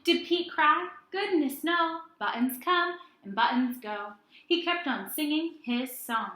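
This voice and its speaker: A woman teacher reading aloud to young children in a deliberate, sing-song way, asking questions and answering them herself. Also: high voice, lively intonation, bright, forceful tone, echoey room. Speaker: early-grades teacher.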